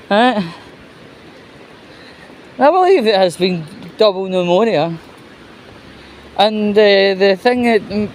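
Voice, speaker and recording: Male news vlogger, notably high voice, halting and opinionated, rambling delivery, some background noise. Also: a little mellow. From United Kingdom. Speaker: host of his own commentary vlog. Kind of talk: casual opinionated news commentary.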